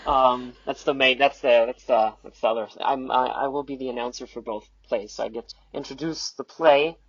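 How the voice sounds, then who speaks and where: A man chatting casually as a podcast guest, halting and repeating his words as he improvises. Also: mid-range voice, fairly even intonation, breathy edge, no room sound. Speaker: podcast guest, audio play announcer. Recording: dull, muffled close recording.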